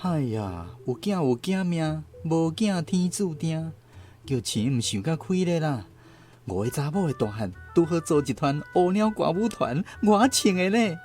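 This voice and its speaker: An actor playing a cheeky, playful schoolboy uncle in a radio drama, teasing and exclaiming with a Taiwanese accent. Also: male voice, on the high side, animated, measured pace, a little mellow, soft background music.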